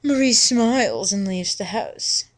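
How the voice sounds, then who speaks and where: A woman's voice: mid-range voice, sing-song, unhurried. A woman giving a mock-dramatic first-person story reading, with melodramatic, exaggerated emphasis. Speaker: reader of a story. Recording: music under the voice.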